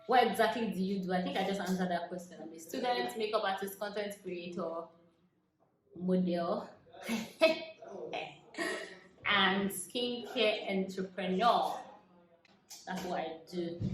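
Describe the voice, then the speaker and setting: A woman in her twenties with a Nigerian accent, chatting casually to camera as she answers viewer questions, laughing often and dropping into soft asides. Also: mid-range voice. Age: 20s. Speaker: vlogger. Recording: echoey room with faint noise.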